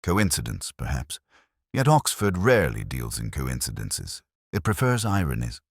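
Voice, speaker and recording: A man playing a melancholy older English police detective, narrating a literary mystery in a measured, brooding, deliberate way. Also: very deep voice, big pitch swings, gravelly, no echo, studio quality, full character voice. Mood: brooding, reflective. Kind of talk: scripted literary mystery narration, radio drama style.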